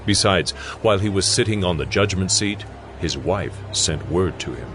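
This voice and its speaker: A man's voice: low voice, lively intonation, moderate pace, a bit bright. A man reading scripted scripture narration as the narrator of a drama. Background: music.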